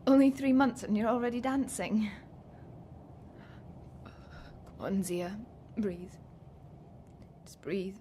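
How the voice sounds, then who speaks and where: A woman's voice: mid-range voice, a little mellow, slight background noise, close to an everyday voice. A woman acting in a naturalistic radio drama as a guarded, frazzled pregnant woman of twenty-five, wry and a little awkward.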